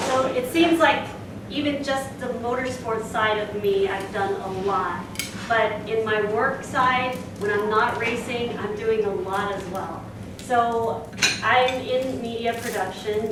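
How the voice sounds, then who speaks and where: A young woman from Singapore giving a chatty talk to a club audience, with frequent fillers. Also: mid-range voice. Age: young adult. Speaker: speaker. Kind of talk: presentation to a club. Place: meeting room. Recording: echoey room, distant mic, noisy.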